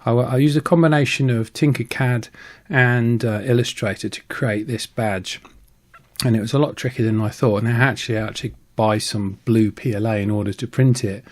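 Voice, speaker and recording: A man's voice: mid-range voice, darkish tone. A middle-aged man who hosts a channel, casually narrating a hobby prop build. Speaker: host of a prop-making channel.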